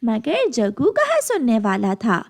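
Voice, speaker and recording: A woman's voice: on the high side, wildly swooping pitch, unhurried, vocal fry. A woman narrating a scripted children's story as the narrator.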